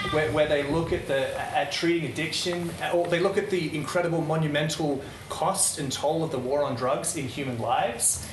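Earnest rambling man, distant roomy recording. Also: fairly high pitch. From Netherlands. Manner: rambling, passionate, many restarts. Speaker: speaker addressing a small group. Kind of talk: earnest unscripted talk. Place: room with a live audience. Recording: roomy, distant mic, noisy.

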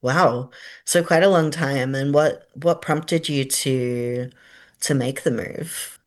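A woman's voice: rumbling low, takes it slowly. A woman hosting a community radio interview, asking curious questions and answering with short encouraging affirmations.

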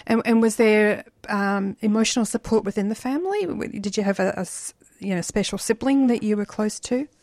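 A woman interviewer asks her guest unhurried, gentle questions and murmurs along as she listens. Her voice sits at a middle pitch with a dry, close sound. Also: no echo.

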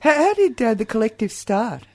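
A woman's voice: on the high side, very animated, normal speed. A woman hosting a radio interview, asking questions and reacting encouragingly. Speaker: radio host.

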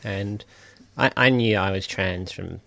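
Low gravelly man, earnest guest. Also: measured pace, a bit bright. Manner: reflective, earnest. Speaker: writer guest. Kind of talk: radio interview.

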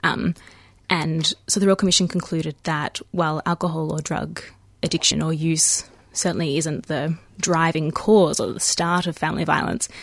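An Australian woman in her thirties, a guest on a community radio show, explaining at length in a measured way with frequent ums. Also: sits low, steady tone, gravelly, no echo. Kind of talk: radio interview. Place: radio studio.

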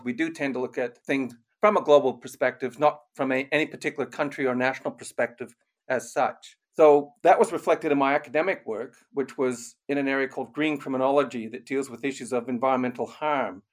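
An older man raised in Canada, an author and criminologist, giving measured, explanatory interview answers. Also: medium pitch, steady tone.

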